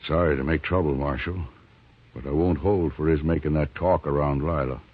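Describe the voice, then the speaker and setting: An actor playing a lonely, stubborn frontier homesteader with a husky voice and plain, guarded speech in a 1950s radio drama. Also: male voice, a bass voice, steady tone, normal speed, a little hiss or hum. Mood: earnest. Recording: tinny phone-like 1950s radio recording.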